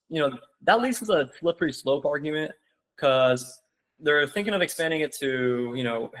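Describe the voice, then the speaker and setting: A man's voice: medium pitch, rough recording. A young man giving a casual online seminar, rambling with lots of "like" and "you know" and calling his viewers "guys.". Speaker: webinar presenter.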